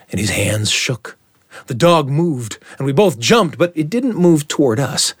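A man telling a first-person story aloud in a dramatic, suspenseful way, with deliberate phrasing and repetition for effect. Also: on the low side, husky, no room sound.

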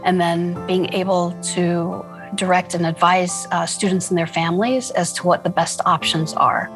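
Woman school founder recounting stories, low flat delivery, thoughtful pauses. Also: faint music behind. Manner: earnest, reflective, with frequent fillers. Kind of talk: interview storytelling.

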